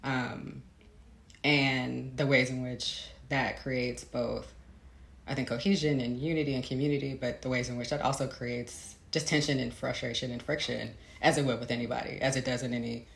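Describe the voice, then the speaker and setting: Female interviewee, author type. Crackly vocal fry, thoughtful and searching delivery, reverberant room sound. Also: a bass voice, fairly even intonation, moderate pace. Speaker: interviewed novelist. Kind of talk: reflective conversational interview. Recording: roomy, echoey sound.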